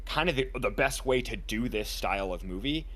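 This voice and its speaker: Playful, animated podcast co-host. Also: male voice, mid-range voice, fairly quick. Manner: playful, teasing, calls friend dude and homie. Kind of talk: casual chat.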